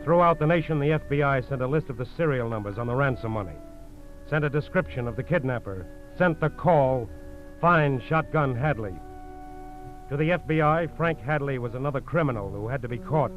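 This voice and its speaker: An actor as a grave, urgent American narrator of a 1940s radio crime drama, clipped, dramatic and emphatic. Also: male voice, mid-range voice, a little fast, soft background music, close to an everyday voice. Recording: dull old radio broadcast recording.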